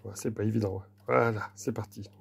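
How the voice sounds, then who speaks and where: A man's voice: fairly low pitch, fairly even intonation, a little fast, creaky, noisy background. A man informally presenting a hands-on technical demonstration, explaining plainly and a little hesitantly, without a script. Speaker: hobbyist presenter demonstrating old equipment.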